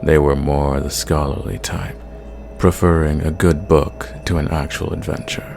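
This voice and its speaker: A man playing an ancient, sadistic, condescending vampire in an ASMR roleplay, speaking in a theatrical, measured way and drawing out his words. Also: very deep voice, steady tone, vocal fry, over music, exaggerated voice. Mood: wry, reminiscing.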